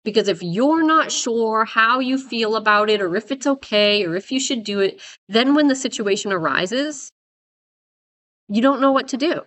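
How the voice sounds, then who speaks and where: Woman trainer, kinda raspy from a cold, blunt, keeps saying right? and guys. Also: fairly high pitch, animated, normal speed, a bit bright. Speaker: dog trainer. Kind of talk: casual advice talk to viewers.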